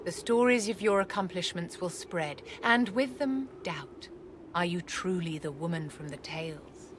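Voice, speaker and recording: Low-voiced, imperious court enchantress. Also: female voice, animated, noisy background. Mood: lofty, commanding. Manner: poised, haughty, condescending endearments, measured formal speech. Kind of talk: video game cutscene acting.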